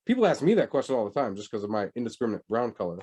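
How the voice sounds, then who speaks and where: A man lecturing on public health in a lively, conversational way, often asking rhetorical questions. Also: mid-range voice, rapid-fire, slightly rough. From United States.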